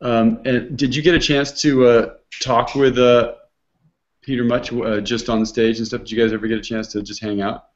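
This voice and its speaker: A man's voice: mid-range voice, level tone, normal speed, lo-fi sound. A man hosting a casual online hangout, talking in a rambling way with many restarts and fillers. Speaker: host.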